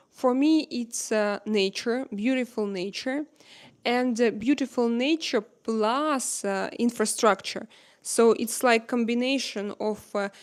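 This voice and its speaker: Slow, smooth-voiced woman, halting speech. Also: mid-range voice. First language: not English. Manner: hesitant, many filler pauses, searching for words. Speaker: livestream host chatting with viewers. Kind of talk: unscripted live chat. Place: home in Spain.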